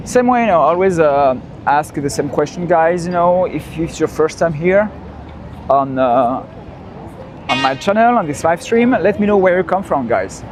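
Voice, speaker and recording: A man with a French accent hosting a live walking tour, chatting as he strolls the city and often repeating himself with "you know" asides. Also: a little high. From France. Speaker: walking tour livestream host. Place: outdoors on busy city streets. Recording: loud street traffic behind the voice. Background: city traffic and street noise.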